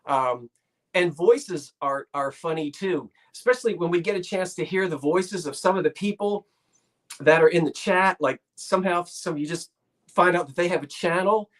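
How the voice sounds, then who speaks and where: A man's voice: sits high, normal speed, fairly bright tone. A man from South Jersey with a South Jersey accent, hosting a live sale stream in casual, rambling chat. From South Jersey, Delaware Valley. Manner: rambling, restarts sentences often.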